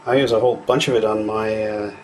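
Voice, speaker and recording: A man's voice: lowish voice, little pitch movement, quick pace, a little hiss or hum. Chatty, rambling Canadian handyman at home. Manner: rambling, chatty, thinks aloud with fillers. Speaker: DIY maker vlogger. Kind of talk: casual how-to narration. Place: home kitchen.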